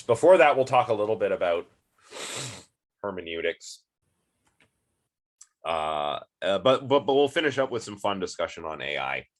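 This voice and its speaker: A man with a deep, low voice, sniffly and stuffed up, talking through an online class. He rambles casually, with plenty of ums and restarts as he gets to his point. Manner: chatty, rambling, many fillers and restarts. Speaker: philosophy lecturer.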